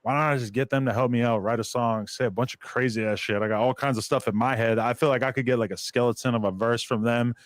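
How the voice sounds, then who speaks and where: A man's voice: mid-range voice, fairly even intonation, quick pace, few pauses, no room sound. A man hosting a podcast, interviewing in a casual, reflective, informal way with some mild swearing.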